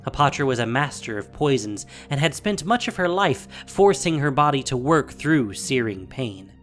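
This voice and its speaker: A woman narrating a scripted fantasy story in a theatrical, measured, dramatic style. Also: a bass voice, lively intonation, soft background music.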